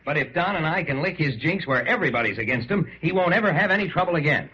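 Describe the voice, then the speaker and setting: Hearty ballplayer, good-natured, radio drama, husky, animated delivery. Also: male voice, on the high side, quick pace, exaggerated voice. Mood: earnest, insistent. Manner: stammering when flustered, chuckling when pleased. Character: hearty, good-natured big star ballplayer, a grown man. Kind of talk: 1930s-set radio drama acting. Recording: dull, lo-fi old radio recording.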